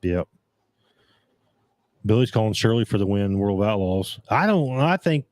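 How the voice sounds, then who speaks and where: A man talks in a fairly low voice, his throat gone a little scratchy from a long night of talking. He rambles easily, restarts his sentences and drifts between topics, recorded close and dry with no room sound. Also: a little mellow, no echo. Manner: rambling, restarts often, reads live comments aloud. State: voice getting scratchy. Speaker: podcast host. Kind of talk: casual chat about dirt track racing.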